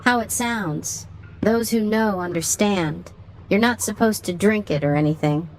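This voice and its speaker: A woman's voice: mid-range voice, measured pace, darkish tone, slight background noise, lightly acted, low-quality recording. A woman playing a young character in casual dialogue in an amateur drama. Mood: curious.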